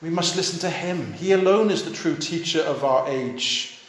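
A man's voice: on the high side, moderate pace, roomy sound. A fifty-year-old male pastor preaching a sermon, urging his listeners on in short, repeated, earnest phrases.

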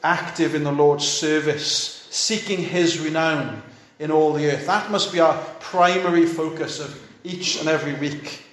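A man's voice: fairly high pitch, a little slow, hardly any pauses, fairly bright tone, echoey room. A fifty-year-old male pastor preaching a sermon, urging his listeners on in short, repeated, earnest phrases.

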